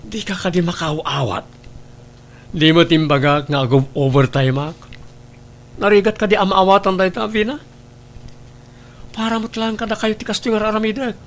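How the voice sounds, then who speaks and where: A man's voice: high-pitched, normal speed, pauses often. A man with an Ilocano Filipino accent playing an evasive, defensive husband and father in an audio drama. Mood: defensive, irritated. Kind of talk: Ilocano radio-style drama acting.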